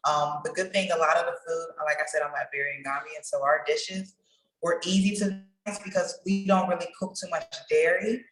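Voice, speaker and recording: A woman talking casually as an interview guest, relaxed and rambling with many fillers. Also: on the low side, moderate pace. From Pittsburgh, United States. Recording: echoey room, far from the mic.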